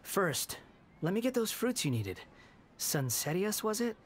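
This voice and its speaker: A man's voice: high-pitched, big pitch swings, a little slow, background noise. A man playing a polite, humble young wanderer working as an eager helper in a video game. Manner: deferential, earnest, hesitant. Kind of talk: video game character acting.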